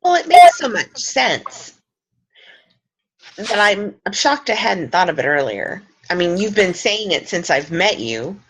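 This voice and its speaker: A woman's voice: medium pitch, big pitch swings, measured pace, a little hiss or hum, rough recording. A woman in a group call, chatting in an earnest, self-mocking way. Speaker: group member. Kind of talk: casual group chat.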